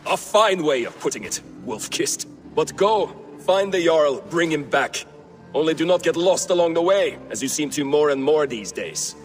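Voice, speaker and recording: An actor playing a resentful Viking warrior in a video game, sarcastic, bitter and defiant. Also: male voice, a little high, animated, moderate pace, soft background music, lightly acted. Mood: scornful. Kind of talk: video game cutscene acting.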